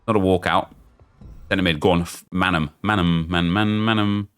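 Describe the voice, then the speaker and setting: A man, chatty and excitable, thinking aloud as he opens packs in a football game, losing his voice and getting unwell. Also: sits low, normal speed, clean tone. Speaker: gaming YouTuber. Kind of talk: casual pack-opening commentary.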